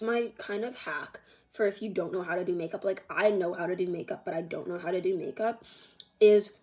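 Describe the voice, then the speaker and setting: A woman chatting casually through a makeup tutorial in an informal, meandering, self-deprecating way. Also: medium pitch. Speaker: beauty vlogger.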